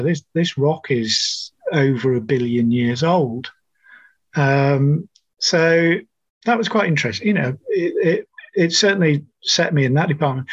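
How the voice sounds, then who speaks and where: A middle-aged man with a New Zealand accent, a nurse guest telling his story in a measured, thoughtful way. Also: a little high, breathy edge, dry recording, muffled recording. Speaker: interview guest, registered nurse. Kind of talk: conversational interview storytelling.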